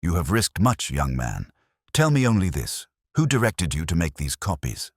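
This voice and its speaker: A man's voice: deep voice, sing-song, moderate pace, no room sound, studio quality, heavily acted. A man voicing a shrewd, commanding Victorian detective in an audiobook, speaking formally and incisively. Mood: measured, resolute. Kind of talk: dramatic audiobook character reading.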